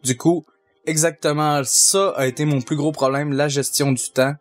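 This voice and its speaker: A young man with a Québécois French accent, a sound mixer answering fan questions, measured and hesitant with many fillers. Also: a little high, fairly even intonation, faint music behind. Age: young adult. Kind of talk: casual Q&A answers.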